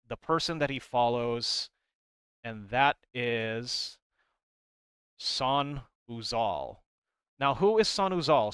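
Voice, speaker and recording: A man's voice: medium pitch, fairly bright tone, smooth, no echo. A man giving casual, rambling commentary as a video creator, conversational and emphatic, close to the microphone. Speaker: video creator explaining game lore.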